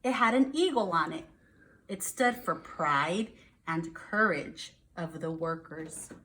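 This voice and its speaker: A woman's voice: fairly low pitch, lively intonation, slow, fairly bright tone. American woman teacher reading to young children. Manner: simple child-directed explaining, checks in with questions. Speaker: first grade teacher. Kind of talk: read-aloud with explanations to young children.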